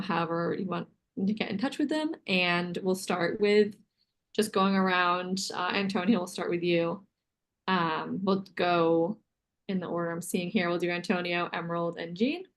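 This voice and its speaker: Friendly woman moderating a panel. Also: lowish voice. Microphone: video call.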